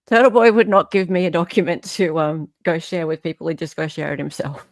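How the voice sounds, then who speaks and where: A woman's voice: on the low side, fairly even intonation, fairly quick, no room sound, studio quality. A woman with an Australian accent hosting a casual live chat, emphatic and given to repeating phrases for effect. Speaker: livestream host.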